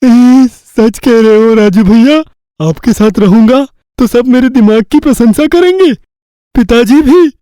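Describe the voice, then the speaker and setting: A man's voice: very high-pitched, warm tone, no room sound. A man voicing a gullible, simple-minded eighteen-year-old village lad, naive and earnest, in a Hindi cartoon story. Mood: eager, trusting. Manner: naive, slow-witted, earnest. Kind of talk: Hindi cartoon fairy-tale dubbing.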